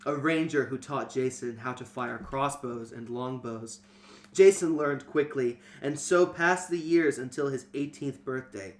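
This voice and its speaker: A man reading scripted narration for an amateur fantasy reader's theater. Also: medium pitch.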